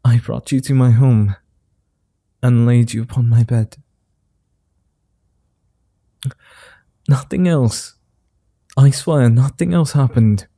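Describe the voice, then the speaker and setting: Man as a suave male vampire, very dark soft tone, slow unhurried delivery, courtly, flirty, gentle, ASMR roleplay. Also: medium pitch, vocal fry, dry recording, clean studio sound. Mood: calm, reassuring. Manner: courtly formal wording, unhurried pauses, teasing. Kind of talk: ASMR roleplay, softly acted monologue.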